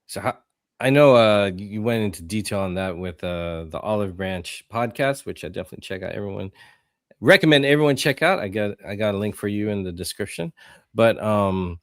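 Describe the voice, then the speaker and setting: A man hosting a podcast, asking questions in a relaxed, easygoing way with plenty of uhs. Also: lowish voice, a little fast, dry recording, clean studio sound. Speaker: podcast host. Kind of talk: casual interview chat.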